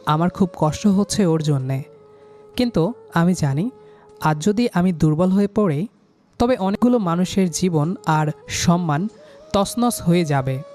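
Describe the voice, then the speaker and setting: A man's voice: high voice, darkish tone. A man narrating a scripted love story in the first person, in an emotive, dramatic manner.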